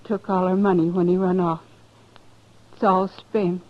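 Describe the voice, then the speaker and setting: A woman's voice: fairly low pitch, little pitch movement, a little slow, lightly acted. An actress playing a battered, plainspoken frontier woman with an American accent and simple country speech, in a muffled 1950s radio western. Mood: subdued, grateful. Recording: muffled, noisy old 1950s radio recording.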